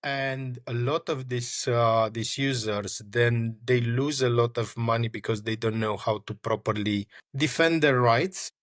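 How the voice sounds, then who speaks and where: A man's voice: mid-range voice, steady tone, unhurried, few pauses, no echo, studio quality. A man from Romania, a startup founder answering interview questions with frequent fillers and restarts. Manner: hesitant, many fillers and restarts.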